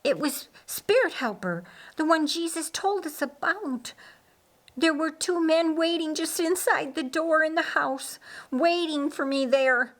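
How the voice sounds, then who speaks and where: Shaken young heroine of an audiobook tale played by a woman, raised pitch, halting, earnest, insistent. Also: expressive, fairly bright tone. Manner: halting, repeating words, insistent. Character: shaken, earnest young woman in her twenties, biblical times.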